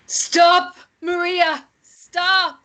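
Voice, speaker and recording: A solemn, commanding woman , played by an actress at a table read. She speaks very slowly and deliberately, with a forceful, bright edge. Every phrase is insistent, in the manner of serious drama. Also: very high voice, little pitch movement, exaggerated voice. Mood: urgent command. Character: Brida, solemn, commanding woman guiding a distraught companion. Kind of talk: screenplay table-read acting.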